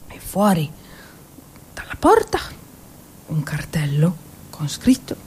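A woman performing a theatrical monologue as a drunk, lonely woman soldier in a First World War trench, rambling and halting in her speech. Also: sits low, a little mellow, a little hiss or hum, voice pushed down, full character voice. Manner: slurred, halting, tipsy rambling.

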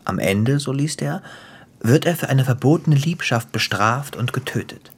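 A man acting in a German radio play as a cruel, gleeful crime boss, theatrical and taunting, with a husky voice that drops into whispers. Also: mid-range voice, lively intonation, normal speed. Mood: relishing, theatrical. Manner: theatrical, taunting, savoring storyteller.

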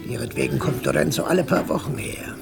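An actor playing a bitter old father grumbling about his son in a German radio play, with a creaky, gravelly voice. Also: medium pitch, wildly swooping pitch, quick pace, loud music behind the voice, exaggerated voice. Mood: lively, teasing.

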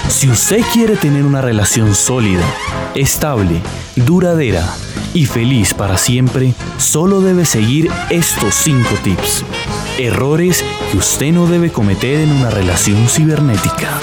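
A man's voice: mid-range voice, sing-song, measured pace, over loud music. A man announcing a scripted numbered list on radio.

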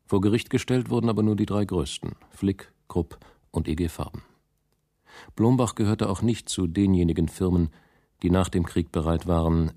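Male narrator for radio drama: low-pitched, close dry studio sound, sober factual delivery, measured. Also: dark tone, dry recording. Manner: matter-of-fact, measured.

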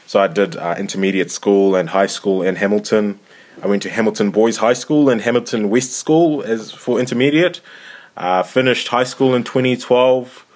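A young man in his twenties from Zimbabwe co-hosts a relaxed podcast. His voice sits fairly low, and he hesitates often, filling gaps with "uh" and "um" and restarting his sentences. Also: normal speed. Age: 20s. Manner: hesitant, many fillers and restarts. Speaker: podcast co-host. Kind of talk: casual podcast chat.

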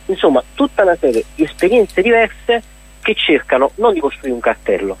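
Husky man explaining at length. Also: fairly high pitch, very animated, a little fast, poor audio. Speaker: activist guest presenting an event. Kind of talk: radio interview, spontaneous explanation.

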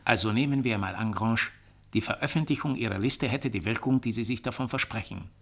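Knarrender, skeptischer Zeitungsmann. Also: male voice, mid-range voice, fairly quick, creaky, a bit bright. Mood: cool, evasive. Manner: dry, evasive, probing. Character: cool, skeptical male Parisian newspaper journalist. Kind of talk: German radio play dialogue.

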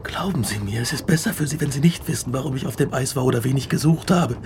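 A man acting an exhausted, tormented, driven castaway with weak, halting speech in a radio play. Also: medium pitch, a little mellow, lightly acted. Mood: desperate, resolute. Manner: halting, broken phrases. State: weak, weary. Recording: clear noise, ambience behind.

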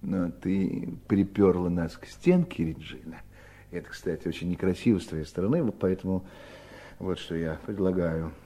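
An actor playing a wily, domineering elder brother in a Soviet radio drama, theatrical, mocking and commanding. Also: male voice, low voice, moderate pace, dark tone. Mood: firm, calculating.

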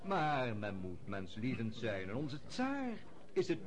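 An actor playing a witty, urbane diplomat in a radio play, courtly and drily ironic with mock earnestness. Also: male voice, medium pitch, huge pitch swings, slow. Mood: drily amused. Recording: busy room chatter behind the voice.

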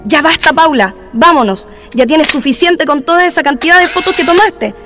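An impatient, jumpy young woman as an actress plays her in a radio drama. The voice is very high, over clear music. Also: normal speed, lightly acted. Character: impatient, nervous young female friend. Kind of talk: amateur educational radio drama.

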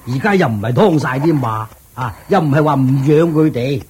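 A man's voice: medium pitch, animated, normal speed, a little mellow, a little hiss or hum, natural voice. A man with a Hong Kong Cantonese accent playing a thrifty, sly royal chancellor in a radio drama, coaxing and explaining in a placating way. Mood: placating, dismissive. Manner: chuckling, coaxing, explaining patiently.

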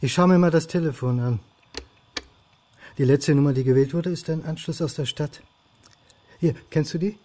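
An actor playing an anxious, dogged historian turned amateur detective, in radio drama dialogue and inner monologue. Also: male voice, on the high side, normal speed, pauses often, mellow, close to an everyday voice. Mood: concerned.

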